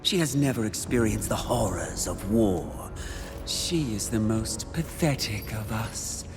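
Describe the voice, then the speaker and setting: Seductive demon for a game, sly n taunting, slow and swoopy, music behind. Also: male voice, on the low side, animated. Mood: contemptuous. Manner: theatrical, taunting, coaxing. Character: seductive, sly, mocking demon embodying the sin of lust. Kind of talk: dramatic video game acting. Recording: music under the voice.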